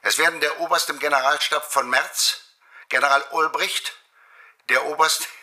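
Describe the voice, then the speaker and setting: Impatient army general, radio play, formal and curt, tense edge, slightly high voice. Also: male voice, fairly even intonation, normal speed, pushed and bright. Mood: coldly formal. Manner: clipped, formal, commanding. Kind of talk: radio drama acting.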